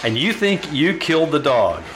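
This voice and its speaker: A man playing a calm, brisk doctor in an old-time radio drama, questioning and reassuring in a measured way. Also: on the high side, slight background noise. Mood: composed, probing.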